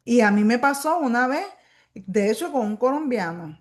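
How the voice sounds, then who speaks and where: A woman's voice: fairly high pitch, expressive, moderate pace. Earnest Puerto Rican woman teaching Scripture. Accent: Puerto Rican Spanish. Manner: earnest, exhorting, addresses listeners as brother and sister; repeats phrases for emphasis, with fillers. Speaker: Bible teacher. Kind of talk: Bible study teaching.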